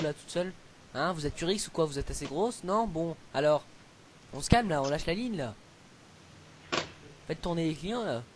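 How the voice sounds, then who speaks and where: A young man improvising a prank call, talking in a provocative, mocking, mock-lecturing way among friends. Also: fairly high pitch. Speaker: prank caller. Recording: noisy amateur home recording with clicks.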